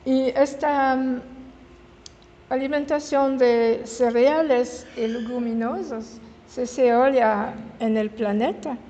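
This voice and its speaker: A woman in her seventies from Switzerland, with a French-speaking Swiss accent and French as her first language, giving an informal public talk. She speaks haltingly, searching for words and correcting herself mid-word. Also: sits high, steady tone, warm tone, muffled recording. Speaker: guest speaker. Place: meeting hall in an old house. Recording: reverberant hall with faint room noise.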